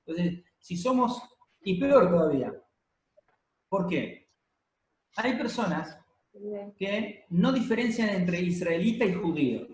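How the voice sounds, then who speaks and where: Male preacher, higher register, teaching sermon delivery with hesitant pauses, into a mic in a reverberant hall. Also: animated, darkish tone. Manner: explanatory teaching, frequent pauses and fillers. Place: church hall, congregation present and streamed. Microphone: handheld or stand microphone. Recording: echoey room.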